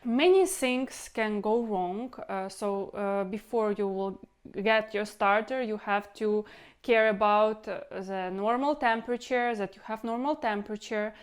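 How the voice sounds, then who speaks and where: Clear-voiced woman with a Ukrainian accent. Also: medium pitch, a little slow. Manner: rambling, repeats words, non-native phrasing. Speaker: home cooking channel host. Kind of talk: casual instructional vlog talk.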